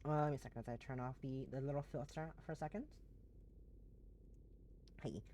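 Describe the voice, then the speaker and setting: Hoarse, stuffy-nosed woman streamer. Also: a bass voice, a little fast. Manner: chatty and warm to chat, frequent restarts. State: hoarse, voice going; stuffy blocked nose. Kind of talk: casual live-stream chat with viewers.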